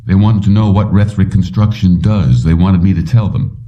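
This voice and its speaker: A man's voice: low-pitched, fairly even intonation, normal speed, mellow, voice pushed up, natural voice. A man voicing a determined, defiant male mechanic in an audiobook, firm and insistent, on a dull recording. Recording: muffled, distant-sounding dull recording.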